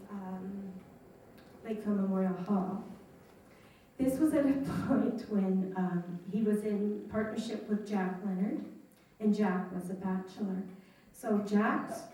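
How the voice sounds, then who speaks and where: A woman's voice: a little high, darkish tone. A woman in her fifties, telling amused, chatty stories as a local history speaker. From Canada. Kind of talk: reminiscing talk to an audience. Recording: echoey room from a distant mic.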